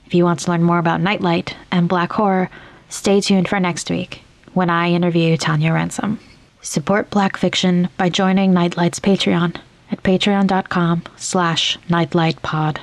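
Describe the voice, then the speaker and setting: A woman reads as a podcast host in a fairly low voice with very level intonation. Her delivery is scripted, measured and clearly enunciated. The sound is dry, with little room in it. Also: little pitch movement, no room sound. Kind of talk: scripted narration, podcast outro and credits.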